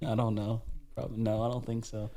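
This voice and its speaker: A man's voice: lowish voice, little pitch movement, fast talker, dark tone. A young man co-hosting a podcast in casual chat, laid-back and rambling, restarting sentences and swearing casually. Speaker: podcast co-host.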